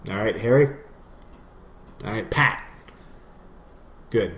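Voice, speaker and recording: A man's voice: fairly low pitch, expressive, slow, a bit bright. A man chatting casually and ramblingly as a podcast co-host. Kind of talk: casual gaming chat. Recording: roomy echo, clear background noise.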